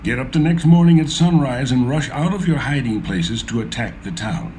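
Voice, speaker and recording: An actor playing Zebul, a lively scornful ruler. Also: male voice, mid-range voice, normal speed, slight background noise, close to an everyday voice. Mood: scheming. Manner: mocking, scornful. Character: scheming town ruler Zebul, adult man.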